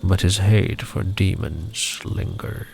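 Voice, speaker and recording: A man telling a story in a gentle ASMR style, soft and soothing, often slipping into a breathy near-whisper. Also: deep voice, soft background music, low-quality recording. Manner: soothing, unhurried, tender.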